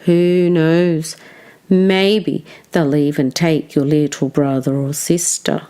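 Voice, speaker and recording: A woman reading a children's storybook aloud like a teacher, with expressive, deliberate phrasing for young listeners. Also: lowish voice.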